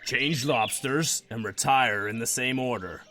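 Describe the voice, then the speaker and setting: A man playing a brusque, excitable gryphon in an audiobook drama, with swooping emphasis. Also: medium pitch, unhurried, faint music behind. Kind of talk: scripted audiobook character acting.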